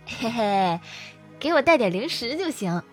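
High-pitched playful little sister played by an actress. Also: female voice, big pitch swings, unhurried, soft background music. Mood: curious, cheerful. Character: bright, playful younger sister, curious observatory colleague. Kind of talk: Mandarin audio drama acting.

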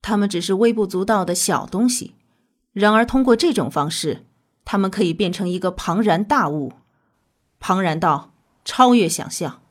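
An actress playing a composed, authoritative scientist in an audio drama, explaining in a measured, instructive way. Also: female voice, mid-range voice, lively intonation, lightly acted. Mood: grave, intent. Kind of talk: scripted audio drama dialogue.

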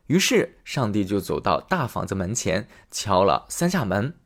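Lively male storyteller for children. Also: lowish voice, normal speed, background noise. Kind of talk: bedtime story narration.

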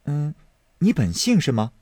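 An eager young nobleman teases and coaxes in a bright, lifting voice, voiced by a man. The delivery rises and falls widely and sounds dry and close. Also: a little high, huge pitch swings, measured pace, no room sound, voice pushed up, exaggerated voice. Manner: eager, teasing.

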